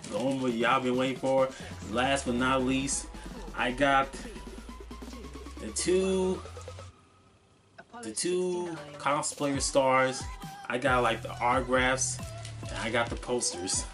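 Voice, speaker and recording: A young man with a mid-pitched voice talks casually to camera in a vlog. He speaks haltingly, often repeating phrases and stumbling over words. Music plays clearly behind him in a small room. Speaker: vlogger showing convention purchases. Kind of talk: casual unscripted vlog chat. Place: small cluttered room at home, indoors.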